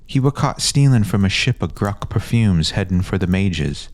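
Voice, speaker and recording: A man's voice: low-pitched, lively intonation, normal speed, darkish tone, no echo, voice pushed up. A man voicing a bossy know-it-all street boy in a fantasy audio story, cocksure and scolding, with rustic grammar. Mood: cocksure, explaining.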